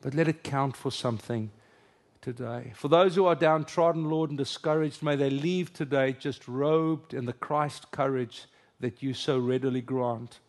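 A man's voice: medium pitch, normal speed, darkish tone. Afrikaans-accented man preaching, middle-aged. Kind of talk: conference sermon and prayer.

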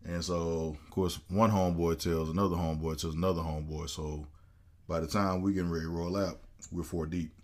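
A man with a very low, deep voice tells a story on a casual podcast. He brings the people in the story to life by playing their voices, and his delivery stays loose and conversational. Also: brisk. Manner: animated storyteller acting out quoted voices. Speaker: podcast co-host.

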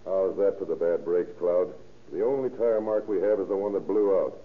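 An actor with an American accent playing a terse, probing police detective in a 1930s radio crime drama. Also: male voice, low voice, moderate pace, lightly acted. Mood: probing, firm. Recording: tinny band-limited 1930s radio recording.

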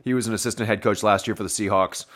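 Hoarse, wheezy man. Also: on the low side, steady tone, quick pace, fairly bright tone. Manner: rambling, self-correcting, addresses listeners as folks. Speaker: sports podcast host. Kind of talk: solo game review.

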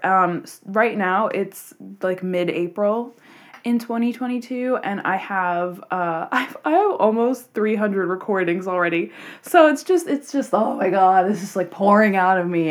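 A woman hosting a podcast, talking in an earnest, rambling way with many fillers and restarts. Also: mid-range voice. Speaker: podcast host. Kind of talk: reflective unscripted monologue.

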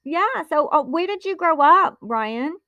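Host, woman, bubbly and giggly, bright voice, dry close sound. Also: sits high, expressive, unhurried, no room sound, muffled recording. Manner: bubbly, laughs often, filler-heavy. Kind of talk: casual interview hosting. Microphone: remote call from home.

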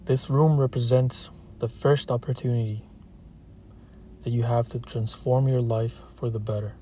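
A man guides a meditation in a relaxed, mellow voice at a middle pitch. His delivery is soothing and steady, with barely any rise or fall. Short phrases are set apart by long, unhurried pauses. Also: slight background noise. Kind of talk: slow guided meditation, scripted narration.

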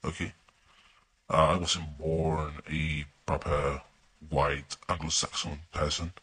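A man's voice: very deep voice, flat delivery, takes it slowly, a bit bright, breathy edge. A man from Spain with a mixed Spanish and American accent, a native Spanish speaker giving a casual, hesitant testimonial. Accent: mix of Spanish and American. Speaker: student giving a coaching testimonial.

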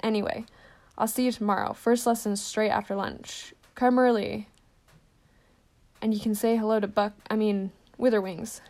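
Storybook role: woman voicing hearty, loud giant of a man, folksy, gravelly. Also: mid-range voice, flat delivery, moderate pace, natural voice. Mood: cheerful. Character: big hearty male groundskeeper, fond, simple.

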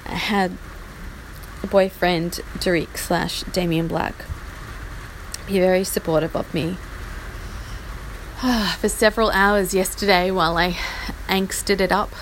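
Husky aussie woman in her 40s, low-ish voice, talks slow and rambly, faint rain behind. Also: steady tone, slight background noise, rough recording. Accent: Australian. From Australia. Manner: rambling, self-deprecating, trailing off. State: husky from a chest infection. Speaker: podcast host. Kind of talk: casual personal update. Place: small outdoor patio in the rain.

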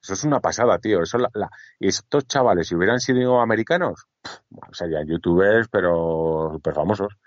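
A man's voice: deep voice, fairly quick, no room sound. A man chatting casually as a co-host, repeating himself, full of fillers and restarts. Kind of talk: casual chat. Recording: dry, dull lo-fi sound.

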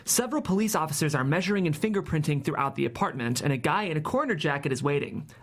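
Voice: mid-range voice, expressive, fast. A narrator reading stage directions aloud at a table read of a TV script.

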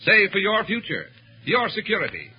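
Brief: vintage male radio announcer, high-pitched, earnest and declamatory, scripted wartime appeal, dull lo-fi broadcast sound. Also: wildly swooping pitch, breathy edge. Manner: declamatory, earnest, measured phrasing. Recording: muffled old 1940s radio recording.

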